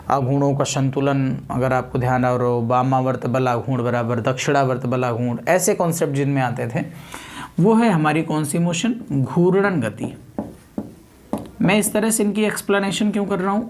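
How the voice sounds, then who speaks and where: A man's voice: fairly high pitch, slight background noise. A man lecturing as a physics teacher, repeating key terms, asking rhetorical questions and mixing in English technical words. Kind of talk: exam coaching lecture with everyday examples.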